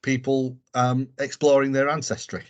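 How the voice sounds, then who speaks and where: A man's voice: mid-range voice, monotone, moderate pace, dull audio. An English man co-hosting a podcast, talking casually with many ums and restarts. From England. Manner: rambling with many fillers and restarts. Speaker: podcast co-host. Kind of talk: casual sports chat.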